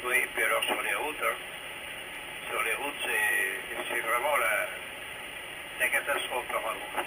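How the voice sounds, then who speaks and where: Male ham radio operator, casual and halting, repeats himself, buried in strong transmission noise. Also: fairly low pitch, vocal fry. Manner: hesitant, repeats phrases. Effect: two-way VHF radio transmission. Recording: very noisy two-way radio link.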